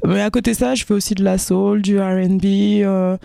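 A woman singer and musician from France, interviewed, speaking hesitantly with many pauses and fillers. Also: mid-range voice, fairly even intonation, vocal fry, warm tone, dry recording. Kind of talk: casual interview.

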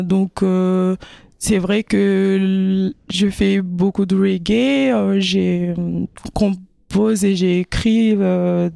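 A woman singer and musician from France, interviewed, speaking hesitantly with many pauses and fillers. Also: mid-range voice, creaky, mellow, dry recording. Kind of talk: casual interview.

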